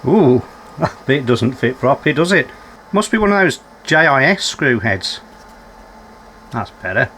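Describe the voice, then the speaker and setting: A man's voice: mid-range voice, very animated, unhurried, pauses often, sharp tone, slight background noise, low-quality recording. A man giving unscripted running commentary as he works on a repair, musing aloud and putting questions to himself with dry, understated humour. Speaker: electronics repairer presenting a repair video.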